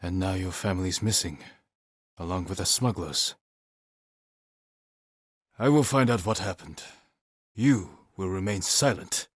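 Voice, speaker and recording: An actor playing a stern, honorable samurai warrior in a video game, with a husky voice and a measured, terse, commanding manner. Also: male voice, low-pitched, dry recording, studio quality. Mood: stern, resolute. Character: stern honorable adult male samurai warrior, dutiful and weary. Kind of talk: video game character voice lines.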